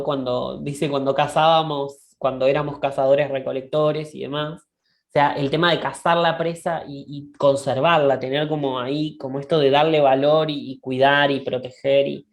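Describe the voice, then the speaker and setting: Low-voiced woman musing in a halting way. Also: gravelly. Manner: thoughtful, halting, often checks in with a questioning "right?". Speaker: discussion co-host. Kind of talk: reflective conversational dialogue.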